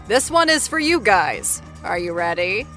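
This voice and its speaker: A woman's voice: mid-range voice, very animated, a little slow, a bit bright, soft background music. A woman hosting a playful trivia game show in a bubbly, upbeat manner. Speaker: show host.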